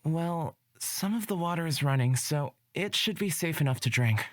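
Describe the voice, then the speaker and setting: An actor playing a gentle, optimistic young man in a fantasy visual novel, soft, hesitant and reassuring. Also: fairly high pitch, expressive, normal speed, no echo, clean studio sound, lightly acted.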